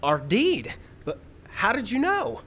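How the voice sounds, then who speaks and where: A man playing an earnest, slightly slow cowboy sidekick in an old-time radio mystery, performed live. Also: extremely high pitch, wildly swooping pitch, a bit bright, heavily acted. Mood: eager, amazed. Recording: live outdoor stage, some background noise.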